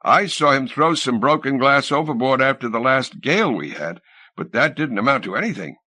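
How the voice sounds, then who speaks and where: A man's voice: medium pitch, expressive, moderate pace, bright, forceful tone, pitched above the performer's own voice. A man voicing a plain-spoken, deferential ship's steward in an audiobook, answering in a respectful, matter-of-fact way. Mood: explaining.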